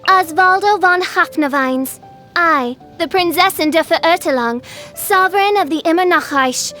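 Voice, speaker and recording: A woman voicing a theatrical teenage girl in a video game who styles herself a dark princess, with grandiose, archaic speech. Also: extremely high pitch, normal speed, faint music behind. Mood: commanding, lofty. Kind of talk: scripted video game character acting.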